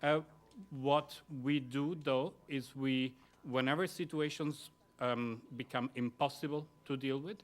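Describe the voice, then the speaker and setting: A man on a conference panel answering an audience question about relief work, speaking in a measured way with many pauses. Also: medium pitch, steady tone. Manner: measured, with frequent filler pauses. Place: conference room.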